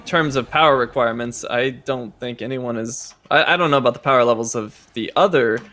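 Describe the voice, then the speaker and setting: A hoarse man with a raspy voice, a game producer chatting casually as a guest, hesitant and often restarting his sentences. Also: medium pitch, fairly quick, sharp tone. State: hoarse from a cold. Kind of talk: casual chat while playing.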